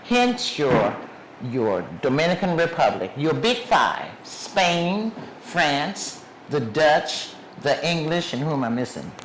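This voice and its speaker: Emphatic speaker in an echoey room. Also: female voice, low-pitched, very animated, takes it slowly. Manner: emphatic, lists places one by one. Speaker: guest speaker. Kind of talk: public lecture with questions. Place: museum lecture room. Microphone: clip mic under a shawl. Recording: echoey room, faint background noise.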